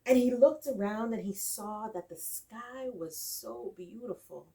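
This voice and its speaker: A woman telling a folktale in an expressive, dramatic style with deliberate phrasing and emphatic repetition. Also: a little high. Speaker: storyteller. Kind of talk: expressive oral folktale storytelling. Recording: distant microphone.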